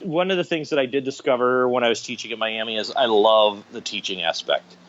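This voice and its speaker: A man's voice: mid-range voice, brisk, a bit bright. A man in his fifties with an American accent, telling stories casually and with self-deprecating humor as a podcast guest. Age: 50s. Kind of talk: casual interview storytelling. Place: remote online call. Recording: dull lo-fi internet call.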